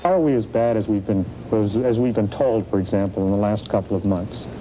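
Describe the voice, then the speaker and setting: Very dark-voiced man asking interview questions. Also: fairly low pitch, a little fast, rough recording. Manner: probing, direct questioning. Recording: old archival TV audio with faint hiss.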